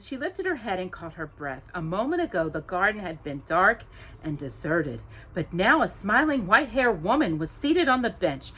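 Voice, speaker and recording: A woman hosting storytime, reading a children's story aloud with expressive, dramatic emphasis on descriptive words. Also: on the low side, slightly rough, rough recording.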